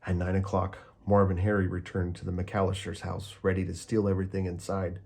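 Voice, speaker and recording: A man reading a children's picture book aloud with calm, steady storytelling. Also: deep voice, a little fast, gravelly, slight background noise. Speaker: storybook reader.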